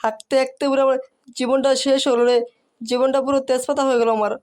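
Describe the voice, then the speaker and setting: A man's voice: very high-pitched, little pitch movement, quick pace. Whining sick young cartoon fellow, acted by a man. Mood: miserable, whining. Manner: complaining, whiny. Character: sick whining young male friend with stomach cramps. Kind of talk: comic cartoon voice acting.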